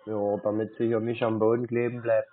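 A man's voice: fairly low pitch, level tone, fairly quick. A man, a native German speaker, chatting casually on a call with playful, feigned naivety. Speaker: scambaiter taking calls. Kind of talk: casual phone chat. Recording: muffled narrow phone-like sound.

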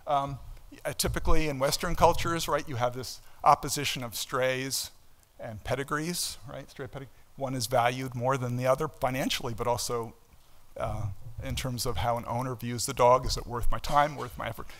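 An older man delivers a keynote from a lectern. His pitch sits in an ordinary middle range for a man. He explains things conversationally, leaning on key words and tossing out rhetorical questions and asides. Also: steady tone, moderate pace, fairly bright tone. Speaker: professor giving a keynote lecture. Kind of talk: conference lecture, explanatory and conversational. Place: indoor auditorium stage at a lectern.

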